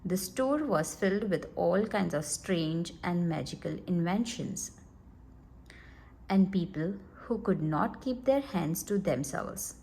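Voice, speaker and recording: A woman reading a children's storybook aloud in an expressive, deliberate manner. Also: on the low side, gravelly. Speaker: storybook reader.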